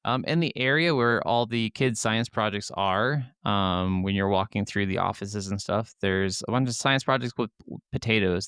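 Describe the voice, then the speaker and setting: A man's voice: lowish voice, fairly bright tone, smooth, no room sound, studio quality. A man co-hosting a gaming podcast, talking casually. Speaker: podcast co-host.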